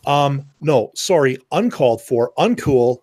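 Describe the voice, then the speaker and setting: A man's voice: mid-range voice, lively intonation, measured pace, darkish tone, dry recording, clean studio sound. A man co-hosting a casual round-table podcast, telling anecdotes and acting out the people in them, with a lot of swearing. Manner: storytelling, acts out quoted exchanges, swears freely. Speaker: podcast co-host.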